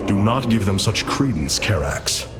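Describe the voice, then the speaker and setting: A man's voice: on the low side, sing-song, a little slow. A man voicing a resolute, stately alien warrior leader in a video game, speaking in a solemn, formal, commanding way. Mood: grave. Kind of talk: dramatic sci-fi game acting. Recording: loud music under the voice. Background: orchestral game music.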